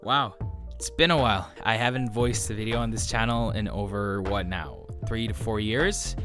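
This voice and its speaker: Earnest low-voiced young man over guitar music. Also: steady tone, moderate pace, a bit bright. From Norway. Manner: earnest and reflective, with frequent "so yeah" fillers. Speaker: YouTube creator. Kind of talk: personal update monologue.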